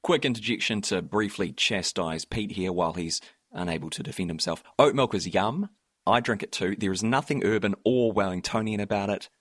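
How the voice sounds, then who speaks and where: Husky New Zealand man hosting a podcast. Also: lowish voice, brisk, a bit bright, no echo, studio quality. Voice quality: slightly husky. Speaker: podcast host. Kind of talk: podcast narration and interviewing.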